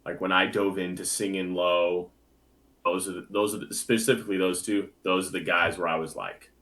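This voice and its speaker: Very deep-voiced young man, easygoing. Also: steady tone, moderate pace, fairly bright tone. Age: young adult. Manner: easygoing, heavy on filler words. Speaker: guest, bass singer. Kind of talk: casual interview chat.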